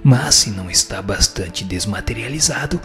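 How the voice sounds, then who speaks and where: Man acting a bright-voiced solemn wise spirit, low. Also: expressive, faint music behind. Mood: solemn, instructive. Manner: formal, measured, didactic. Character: solemn male spirit, a wise teacher answering questions. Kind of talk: scripted radio drama reading of questions and answers.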